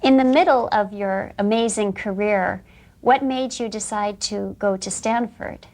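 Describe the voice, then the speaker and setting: A woman interviewing a guest. She asks clear questions and gives brief, attentive backchannels. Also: mid-range voice, measured pace, darkish tone. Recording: 1990s broadcast interview.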